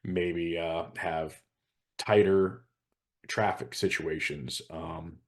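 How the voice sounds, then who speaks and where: A man's voice: deep voice, fairly even intonation, drawn-out words. A man talking as a show host in a casual professional discussion, explaining and giving practical advice. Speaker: hazmat consultant and show host.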